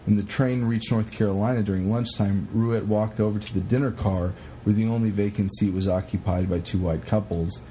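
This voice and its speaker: A man co-hosting a podcast, chatting casually in an animated, rambling, sweary way as he retells stories. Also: fairly low pitch, brisk, hardly any pauses, slight background noise, rough recording. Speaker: podcast co-host. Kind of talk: casual chat retelling a historical story.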